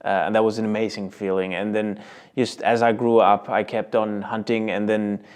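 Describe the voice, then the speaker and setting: A man's voice: lowish voice, little pitch movement, normal speed, darkish tone, clear, resonant tone. A man from Sweden with a Swedish accent, co-hosting a podcast in an easygoing, casual way with short agreeing replies. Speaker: podcast co-host.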